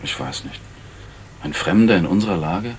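An actor playing a wary, practical man in a 1970s German radio crime play, brisk and sceptical. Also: sits low, lively intonation, slightly rough, exaggerated voice.

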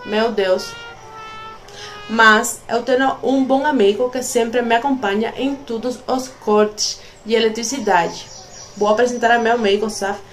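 A woman's voice: fairly high pitch, fairly even intonation, unhurried, soft background music. A young Cuban woman vlogging casually to a phone camera, speaking careful learner's Portuguese with a Spanish accent. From Cuba. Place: small room at home, indoors.

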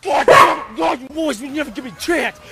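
A man's voice: very high voice, normal speed, creaky. A man playing a manic, crude character in a comic cartoon parody, exaggerated and exclamatory. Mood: exasperated.

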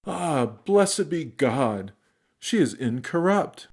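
Male actor as a reverent cardinal, solemn, very slow, swooping higher male pitch, audio drama. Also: sing-song, darkish tone, exaggerated voice.

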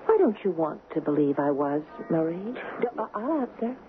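A woman's voice: low-pitched, sing-song, moderate pace, a little hiss or hum. An actress playing an earnest, romantic young woman in a 1970s radio drama. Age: young adult. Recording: muffled phone-like 1970s radio, music behind.

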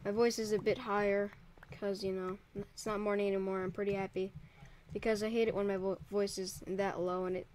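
A man's voice: high voice, level tone, a little fast, a little mellow, a little hiss or hum. A young man, probably a teen, casually commentating a Minecraft let's play as server host, rambling and easily startled. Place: home room by a window. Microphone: desk mic, drifts off it.

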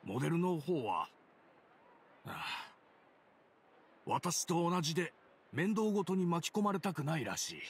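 A weary older businessman, slightly high. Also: very animated, a little hiss or hum. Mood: admonishing, resigned. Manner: measured, admonishing. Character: weary, cautious older male business partner. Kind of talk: Japanese game voice acting.

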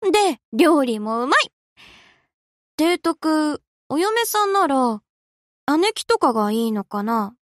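A woman's voice: high voice, sing-song, takes it slowly, no room sound, studio quality, heavily acted. A woman playing an easygoing, slangy young navy destroyer girl in an anime game, dutiful but fond of rest. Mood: breezy, casual. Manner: casual slangy girlish speech. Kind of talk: Japanese anime game character voice lines.

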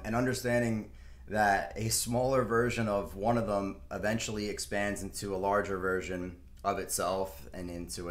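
A man's voice: fairly low pitch, roomy sound. A young man hosting a casual market video, talking through charts at his desk in a loose, rambling way with lots of fillers. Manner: rambling, filler-heavy, laughs to himself. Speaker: stock market video host. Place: room at a desk, in front of a screen.

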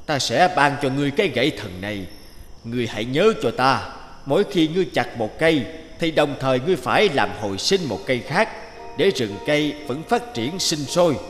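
A man's voice: medium pitch, reverberant, in a large hall, lightly acted. A man as stern, solemn old forest god. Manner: slow, solemn, commanding. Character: stern old male deity guarding the forest.

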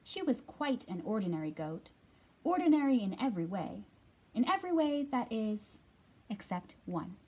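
A woman's voice: medium pitch, very animated, moderate pace, mellow, slightly rough. A woman in her thirties hosting a children's storytime, reading and talking expressively to young listeners as a librarian. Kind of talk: picture book read-aloud and storytime talk for young children.

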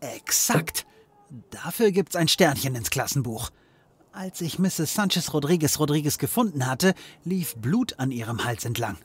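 Flippant husky male private detective. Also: fairly high pitch, lively intonation, measured pace. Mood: joking, then serious. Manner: joking, theatrical. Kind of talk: German comedy audio drama acting.

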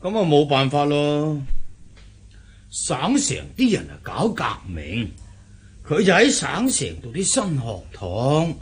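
Slow, husky older master of the house. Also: male voice, a little high, wildly swooping pitch, full character voice. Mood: resigned, reasoning. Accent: Hong Kong Cantonese. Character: resigned, unhurried older husband, master of the household. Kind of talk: Cantonese radio period drama acting.

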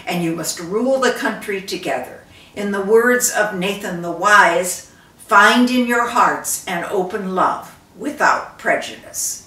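An older woman voicing a wise, fair judge in a story, formal, deliberate and commanding. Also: mid-range voice, animated, bright, forceful tone. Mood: firm, solemn. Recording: roomy, distant microphone.

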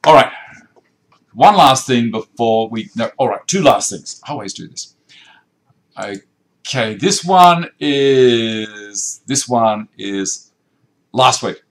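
A chatty Australian man in his fifties, talking to viewers from an indoor workshop. He restarts his sentences often and talks at an ordinary pitch. The sound comes through a webcam a little way off. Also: slow pace, pauses often. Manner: chatty, animated hand gestures, frequent restarts. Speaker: woodworking channel host. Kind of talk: live-stream chat reading viewer comments. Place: indoor workshop with tool racks.

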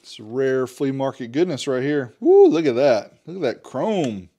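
A man's voice: medium pitch, big pitch swings, a little slow, warm tone. A man in his forties casually unboxing and reviewing a product as host, chatty and joking, talking to himself now and then. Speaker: host reviewing car audio gear.

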